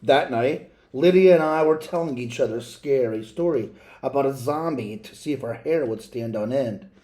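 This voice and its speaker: Male storybook reader, warm dark timbre, dramatic and deliberate delivery. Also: medium pitch. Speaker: read-aloud reader. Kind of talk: storybook read-aloud, expressive narration.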